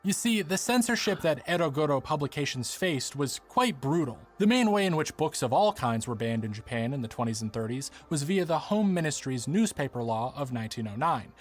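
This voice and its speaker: A man's voice: a little high, big pitch swings, brisk, few pauses. A man delivering scripted documentary narration as the narrator of a video essay.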